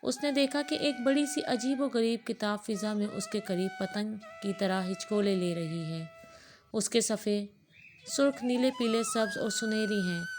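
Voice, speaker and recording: A woman storyteller reading a scripted moral story as narration. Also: fairly high pitch. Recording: music playing behind the voice. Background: background music.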